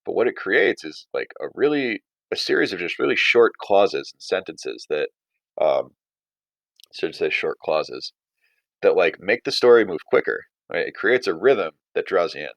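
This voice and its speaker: A man with a deep voice teaches in a loose, conversational style. He thinks aloud as he explains, and the sound is dry with little room to it. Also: big pitch swings, moderate pace, vocal fry, a bit bright, no echo. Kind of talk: casual writing lesson and story read-aloud.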